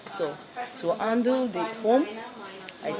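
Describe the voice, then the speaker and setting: A woman's voice: on the high side, animated, slow pace. A woman with a Nigerian accent talks about her work as an air traffic controller in an interview. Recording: clear chatter of other voices behind.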